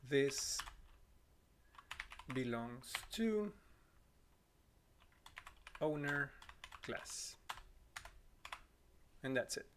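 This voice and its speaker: A man in his thirties from Costa Rica with a Spanish accent, walking through live code at home on a boom mic, apologetic and self-correcting, his throat a little scratchy. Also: animated, drawn-out words, pauses often. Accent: Costa Rican Spanish. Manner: apologetic, talks while typing, self-correcting. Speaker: livestream coding instructor. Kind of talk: live coding walkthrough. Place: small room at home. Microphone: boom mic on arm.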